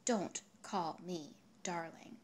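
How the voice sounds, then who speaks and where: A woman's voice: lowish voice, slow. Indignant young heroine of a literary story, voiced by a woman reader, firm, guarded, exasperated.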